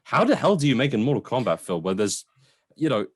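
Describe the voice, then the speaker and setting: A man's voice: medium pitch, very animated, fast talker, slightly rough, no room sound, clean studio sound. A man co-hosting a casual film chat, talking in an enthusiastic, effusive way. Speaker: podcast co-host.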